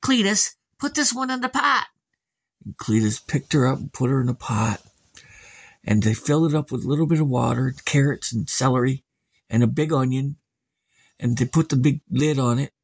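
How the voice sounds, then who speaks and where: A man's voice: mid-range voice, steady tone, a little fast, gravelly, a little mellow, husky, no room sound. A man telling an improvised scary bedtime story as storyteller, in a folksy, plain-spoken manner.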